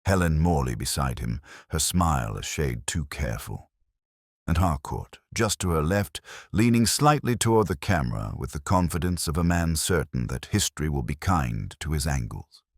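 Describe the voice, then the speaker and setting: A brooding police inspector played by a man narrates his case in a very deep, close and dry voice. His delivery is measured and reflective, with literary phrasing and lively rises and falls. He sounds world-weary, like the narrator of a detective radio drama. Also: no echo, full character voice. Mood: somber, watchful. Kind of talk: scripted first-person detective story narration.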